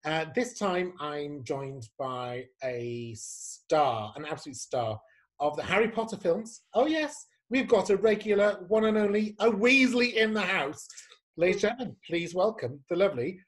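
A man's voice: high voice, lively intonation, normal speed. A man hosting a casual interview over a video call from a home room, camp, playful and effusive. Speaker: host.

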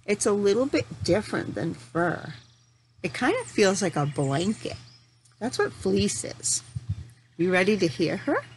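A woman in her forties reads to small children at a slow pace, in a middle-pitched voice. She uses simple, deliberate phrases and puts questions to her young listeners. The recording has noticeable noise behind her. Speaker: teacher reading to young children. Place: home room in front of a large window. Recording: steady noticeable background noise.

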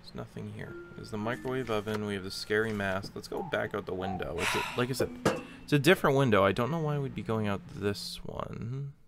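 A man casually commentating a game as he plays, chatting and reacting aloud in a relaxed way. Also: lowish voice, brisk, creaky, few pauses, background noise. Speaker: gameplay commentator. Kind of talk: casual let's-play commentary.

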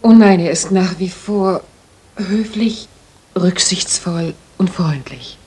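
An actress playing a proper young Victorian lady in a radio play, polite and measured, with a husky, breathy voice. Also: fairly low pitch, warm tone, heavily acted. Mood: reserved, confiding. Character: proper, modest young Victorian lady client, firm when pestered. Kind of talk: dramatic German radio play acting.

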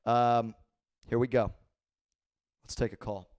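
A man in his thirties hosting a live call-in stream from a small home studio, talking loosely with lots of swearing while his voice is going hoarse. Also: medium pitch, fairly even intonation, slow, darkish tone, dry recording, dull audio. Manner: loose, profane, laughing. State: losing his voice. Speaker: livestream host. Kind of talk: casual live call-in chat. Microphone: handheld microphone.

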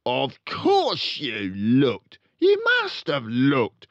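Blustering boastful father, storybook character, loud strained high voice, dull slightly muffled recording. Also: wildly swooping pitch, extremely slow, no room sound, exaggerated voice. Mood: angry, shouting. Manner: blustering, shouting, pompous. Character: loud bullying boastful father, hot-tempered car dealer. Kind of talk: storybook read-aloud character voice.

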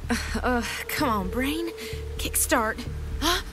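An actress playing an injured young woman in an audio drama, halting and groaning as she struggles to think. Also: mid-range voice, very animated, music in the background, low-quality recording. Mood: dazed, straining.

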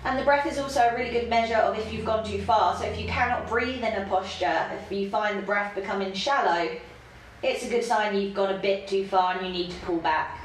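A young woman with a stuffy nose from hay fever, teaching a casual yoga class at home, chatty with laughing asides, then slow and calm cues. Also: medium pitch. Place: home room with yoga mat. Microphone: camera across the room. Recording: very echoey room, faint background hiss.

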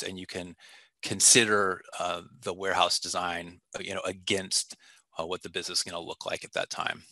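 A man's voice: deep voice, bright, forceful tone, no echo. A man in his thirties answering interview questions over a video call on a headset mic, thoughtful and full of fillers and restarts. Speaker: warehouse technical product manager. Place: small room indoors.

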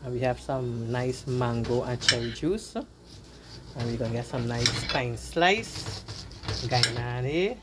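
A woman's voice: very deep voice, slow pace. A woman with a Guyanese accent chatting casually to viewers while she cooks in her home kitchen, often repeating her words. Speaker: cooking vlogger. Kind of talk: casual cooking vlog chat. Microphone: handheld phone camera. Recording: noisy phone recording with frying sizzle.

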